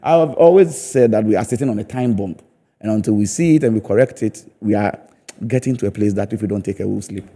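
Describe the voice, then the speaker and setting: A man with a Ghanaian accent speaks in a low, very dark and soft-edged voice. He gives his views as an interview guest, stressing points emphatically through repetition. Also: quick pace. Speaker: guest, stage play producer and theatre practitioner. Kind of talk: studio interview, opinionated discussion.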